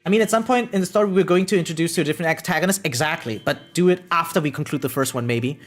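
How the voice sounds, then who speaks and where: A woman's voice: sits low, very fast, soft background music. A woman game streamer chatting casually and opinionatedly on a live stream, swearing freely and often checking in with her listeners. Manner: animated, opinionated venting with rhetorical check-ins.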